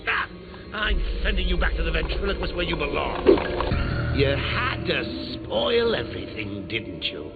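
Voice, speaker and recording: An actor playing a gleefully cruel male clown villain in a video game, taunting in a theatrical manner that swings from sing-song glee to shouting. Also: a little high, sing-song, measured pace, roomy sound, narrow, tinny audio, loud music behind the voice.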